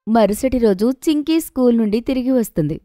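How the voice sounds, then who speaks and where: A woman's voice: fairly high pitch, animated, a little fast, mellow. A woman narrator reading a scripted children's story.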